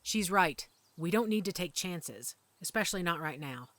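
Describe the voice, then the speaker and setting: A woman playing a calm, protective werewolf mother who leads her pack in an audio drama, speaking with authority. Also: fairly low pitch, moderate pace, no room sound. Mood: brisk, concerned. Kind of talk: audio roleplay acting.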